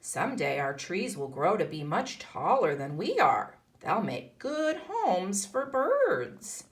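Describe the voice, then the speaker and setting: A woman teaching kindergarten, reading a picture book aloud to young children and explaining as she goes. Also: medium pitch. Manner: instructional, explaining and posing questions to children.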